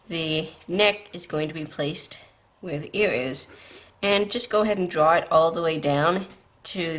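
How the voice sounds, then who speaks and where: A woman's voice: lowish voice, fairly bright tone. A woman hosting a drawing tutorial, explaining patiently step by step, her voice tired and scratchy from a late night. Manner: patient, beginner-friendly explaining.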